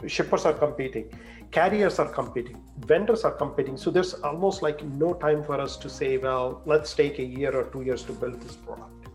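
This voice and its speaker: A man's voice: mid-range voice, normal speed, soft background music. A man speaking conversationally as a panelist in a business discussion.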